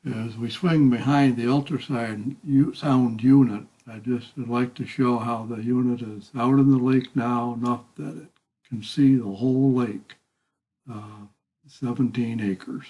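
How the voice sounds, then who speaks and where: A man giving a halting, deliberate, matter-of-fact informal field narration outdoors, recorded on a handheld device. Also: mid-range voice, fairly even intonation, mellow, slightly rough, no room sound, low-quality recording. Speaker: lake resident surveying an algae bloom. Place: outdoors, out on a small lake. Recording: faint outdoor background noise.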